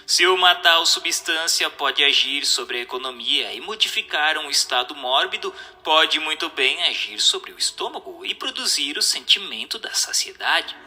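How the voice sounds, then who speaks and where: Bright-voiced man reading formally. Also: a little high, animated, normal speed, hardly any pauses, soft background music. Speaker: narrator. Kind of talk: scripted dramatized reading of questions and answers.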